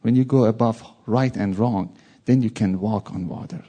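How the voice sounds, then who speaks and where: A man's voice: lowish voice, normal speed, dark tone. A man from Iran with a Persian accent, giving an informal sermon with jokey asides. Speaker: preacher.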